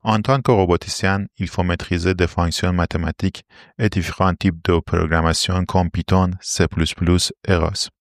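Low-voiced Iranian-accented man, formal and explanatory. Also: fairly even intonation, moderate pace, no room sound, studio quality. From Iran. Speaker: interview guest, robotics R&D engineer. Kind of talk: prepared interview answers.

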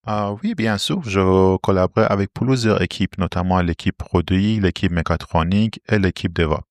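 Low-voiced Iranian-accented man, formal and explanatory. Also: normal speed, no echo, clean studio sound. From Iran. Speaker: interview guest, robotics R&D engineer. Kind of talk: prepared interview answers.

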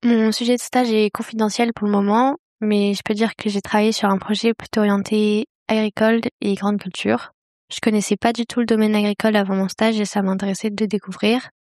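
A woman in her twenties talks in a steady, flat tone with very little melody, composed and matter-of-fact. Her voice sits dry and close to the mic. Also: medium pitch, fairly quick, no room sound. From Paris region, France. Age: 20s. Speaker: engineering intern.

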